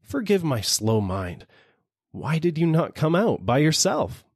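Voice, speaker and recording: A man voicing a sly little storybook jackal who acts slow-witted, vacant and overly apologetic. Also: a little high, sing-song, slightly rough, no echo, studio quality, pitched above the performer's own voice, heavily acted. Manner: vacant, apologetic, exaggeratedly puzzled.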